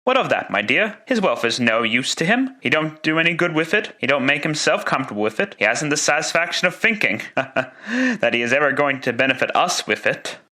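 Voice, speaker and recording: A man acts out a good-natured young gentleman in a classic radio drama. His voice is bright and raised, full of hearty cheer. He talks with animated, amused warmth. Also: fairly high pitch, a little fast, pitched above the performer's own voice. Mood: amused, pitying.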